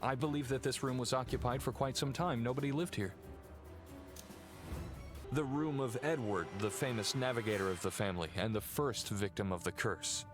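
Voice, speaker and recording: An actor playing a polite, serious gentleman heir in a video game, explaining things in a courteous, earnest way. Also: medium pitch, moderate pace, music in the background, natural voice. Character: Sir Henry, polite, serious male heir of cursed family. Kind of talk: video game dialogue acting.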